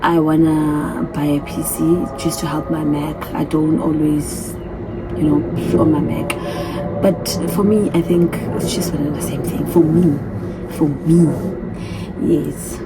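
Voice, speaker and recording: A woman's voice: deep voice, steady tone, unhurried, music in the background, rough recording. A woman in her thirties chatting casually through a get-to-know-me question list, often repeating her answers. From from a different continent. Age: 30s. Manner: chatty, repeats herself, reads questions aloud. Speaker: vlogger. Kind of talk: casual Q&A vlog. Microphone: phone.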